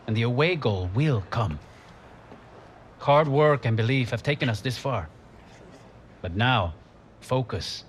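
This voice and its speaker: Passionate football coach, played by a man, swinging pitch. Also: slight background noise, natural voice. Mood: stern, focused. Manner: emphatic, measured, rousing. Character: passionate, commanding football coach rallying his team. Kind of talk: dramatic team talk in a game cutscene.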